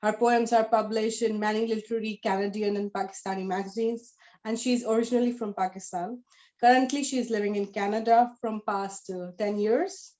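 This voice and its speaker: A woman's voice: medium pitch, steady tone, fairly quick, mellow, dull audio. A woman hosting an author interview, friendly and eager, with hesitant fillers. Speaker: host. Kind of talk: casual interview.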